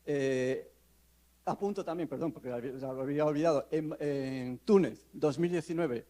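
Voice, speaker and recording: A male law professor giving a conference presentation, pausing often with fillers and restarting his sentences. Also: a little high, warm tone. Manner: hesitant, many fillers and restarts. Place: conference hall.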